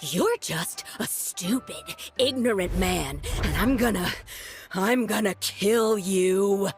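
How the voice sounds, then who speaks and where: A woman's voice: mid-range voice, takes it slowly. A woman voicing an arrogant, belligerent and unhinged young archaeologist in an anime game, boastful and threatening. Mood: menacing. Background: faint music.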